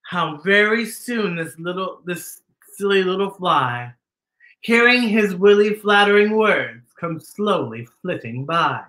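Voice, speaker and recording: A man hosts a children's storytime with campy theatrical flair. His voice is high and bright, and he stretches and exclaims his words in a roomy-sounding space. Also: lively intonation. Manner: campy, drawn-out, exclaiming dramatic delivery. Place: decorated indoor storytime set.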